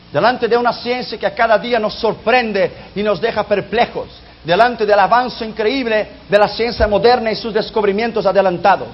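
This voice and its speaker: Male preacher, non-native speaker, high voice, emphatic delivery; lo-fi, muffled recording. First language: not Spanish. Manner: emphatic, drops low and soft on key lines. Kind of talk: sermon on prophecy. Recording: dull lo-fi recording.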